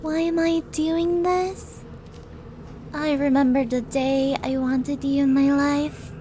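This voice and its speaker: A woman's voice: high-pitched, level tone, takes it slowly, warm tone, slight background noise. A woman playing a sadistic, flirtatious demon princess in an ASMR roleplay audio drama, teasing and mock-sweet in a theatrical way. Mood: obsessive, wistful. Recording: music under the voice.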